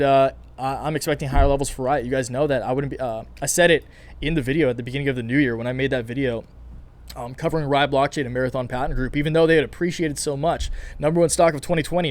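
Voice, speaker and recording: Male channel host, rapid upbeat commentary, slight rasp from a long day of recording. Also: mid-range voice. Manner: fast, energetic, chatty, many fillers and restarts. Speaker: finance YouTuber. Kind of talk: casual market commentary to camera.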